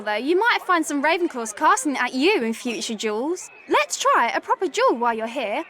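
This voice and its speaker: A woman's voice: high voice, fairly bright tone, slight background noise. A woman playing a keen, friendly schoolgirl club captain in a video game, talking in an instructional way.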